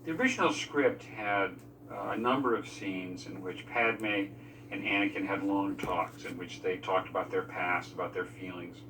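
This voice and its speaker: A man giving measured, explanatory commentary as a filmmaker in a behind-the-scenes interview. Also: deep voice, pushed and bright, recorded from a distance, slight background noise, poor audio. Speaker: film crew member discussing editing decisions.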